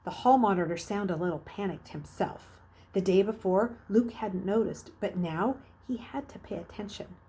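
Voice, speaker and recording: Narrator: woman, mid-range voice, teacherly read-aloud style with dramatic, deliberate emphasis. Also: medium pitch, dark tone. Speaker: teacher reading aloud to children. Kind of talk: expressive storybook narration.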